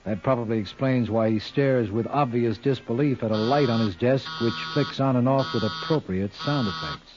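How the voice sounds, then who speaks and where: A man's voice: medium pitch, normal speed, few pauses, darkish tone, lightly acted. A man playing a sardonic, wisecracking lawyer who narrates his own case in a 1940s radio mystery. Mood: wry. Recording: dull old radio recording, music behind.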